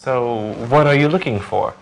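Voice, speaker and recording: A young man acting out simple English lesson dialogues in a clear, deliberate voice. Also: mid-range voice, slight background noise, rough recording. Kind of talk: slow scripted classroom dialogue.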